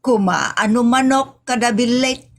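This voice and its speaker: A woman acting a gossipy, prying wife in a comedy drama, with an Ilocano accent and a drawn-out delivery. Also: a little high.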